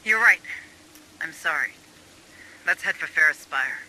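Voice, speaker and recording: A woman playing a wary, composed administrator on a military mission in a video game, speaking in a measured, questioning way. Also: sits low, expressive, pushed and bright, full character voice, rough recording. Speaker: deputy administrator. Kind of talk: video game cutscene acting.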